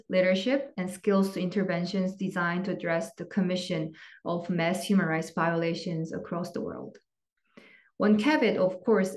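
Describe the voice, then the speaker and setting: A woman moderating an online event, reading measured, formal opening remarks over slides. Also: fairly low pitch, a little mellow.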